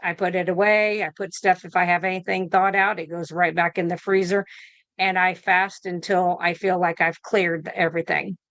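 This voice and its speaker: A woman's voice: lowish voice, flat delivery, moderate pace, fairly bright tone, no room sound. A woman in her fifties, a nurse answering questions in a casual video-call interview, rambling with fillers and restarts. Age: 50s. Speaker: guest nurse.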